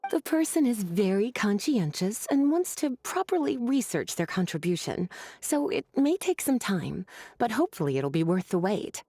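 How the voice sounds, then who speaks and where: Mellow, teasing scholarly woman. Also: mid-range voice. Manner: coaxing, playful. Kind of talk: anime game dubbing.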